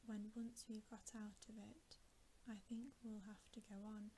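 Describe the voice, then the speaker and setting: A woman voicing a decent, cautious older brother in a storybook, whispering in an earnest, measured way. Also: medium pitch, flat delivery, warm tone, lightly acted. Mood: earnest, worried. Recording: faint background hiss.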